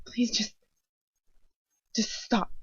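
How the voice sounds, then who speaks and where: A woman's voice: drawn-out words, a bit bright, no room sound. A woman voicing a young female captive who pleads desperately and earnestly in a tremulous voice, in a story reading. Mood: exhausted pleading. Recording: dull lo-fi home recording.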